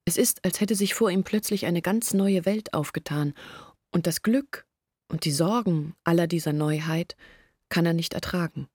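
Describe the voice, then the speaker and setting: A breathy confiding village woman. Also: lowish voice, moderate pace, darkish tone, no echo, studio quality. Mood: earnest, confiding. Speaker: Olga, a village woman telling her family's story. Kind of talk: German radio play monologue.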